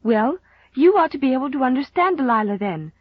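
A woman's voice: medium pitch, moderate pace, breathy edge, no echo, highs cut off, close to an everyday voice. A woman voicing an earnest, dramatic, indignant young girl with a gushing, emphatic manner, in a storybook reading.

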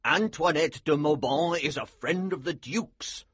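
A man voicing a gruff, protective older colonel in an audiobook, with a raspy, emphatic delivery. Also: a little high, fairly bright tone, no room sound, voice pushed up, exaggerated voice. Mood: stern, angry. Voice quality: husky, strained rasp. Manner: emphatic, deliberate. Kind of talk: audiobook character voice.

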